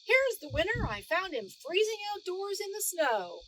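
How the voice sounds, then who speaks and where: A woman's voice: extremely high pitch, sing-song, normal speed, background noise, pitched above the performer's own voice. A woman voicing a stern, suspicious, easily amazed male museum guard in a storybook read-aloud, exclaiming emphatically. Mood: amazed, proud.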